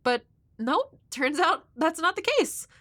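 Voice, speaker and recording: A woman's voice: sits high, expressive, unhurried, bright, forceful tone, no echo, heavily acted. A woman playing a shy, awkward, nerdy young woman next door in an audio roleplay, hesitant and rambling with a nervously apologetic manner. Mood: nerdy, eager. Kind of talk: intimate audio roleplay addressed to the listener.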